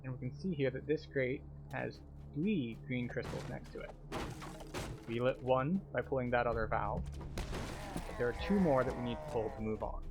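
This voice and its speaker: A man's voice: mid-range voice, moderate pace. A man giving casual, explanatory commentary as a tour guide through a video game.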